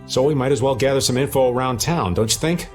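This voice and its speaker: A man's voice: medium pitch, fast talker, faint music behind, natural voice. A man playing a laid-back, roguish middle-aged adventurer in a video game, with a folksy, slangy delivery. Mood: easygoing, grumbling. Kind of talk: English video game dub.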